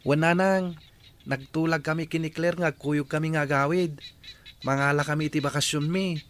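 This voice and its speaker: An actor playing a dutiful, respectful young working student in a radio drama, with an Ilocano accent. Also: male voice, fairly high pitch, fairly even intonation, normal speed, a little hiss or hum, lightly acted. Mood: respectful, reassuring.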